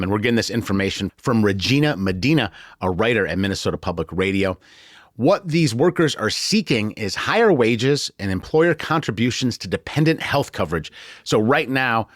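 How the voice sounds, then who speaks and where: A man's voice: on the low side, no room sound, clean studio sound. An American man hosting a conversational news podcast in a colloquial, joking way. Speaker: podcast host.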